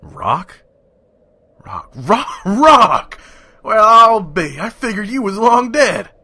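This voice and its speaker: A man voicing a wary, gruff stallion trader who narrates a wasteland audio drama tersely. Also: sits high, big pitch swings, slow pace, sharp tone, roomy sound, recorded from a distance, full character voice. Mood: surprised, pleased. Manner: terse, guarded. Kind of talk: first-person story narration and dialogue.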